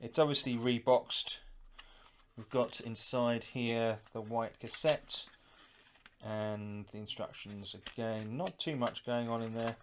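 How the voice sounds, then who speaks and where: A man's voice: mid-range voice, fairly even intonation, slow pace, slight background noise. A middle-aged man giving casual, unscripted unboxing commentary, musing aloud as he handles things. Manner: musing, thinking aloud while handling items. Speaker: host of a retro gaming unboxing channel.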